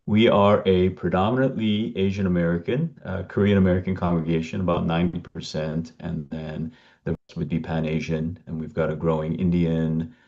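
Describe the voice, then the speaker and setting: Deep-voiced man pastor, dull audio. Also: fairly even intonation, normal speed, a little mellow. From Bangladesh and Chicago. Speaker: senior pastor. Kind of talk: panel introduction. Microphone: video call. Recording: dull video call audio.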